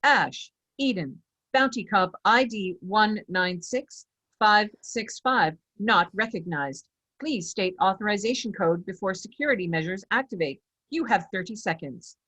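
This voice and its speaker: A woman at a table read voicing an impersonal AI security system with formal, measured announcements. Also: mid-range voice, lively intonation, fairly bright tone, slightly rough, no room sound, poor audio. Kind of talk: screenplay table read acting.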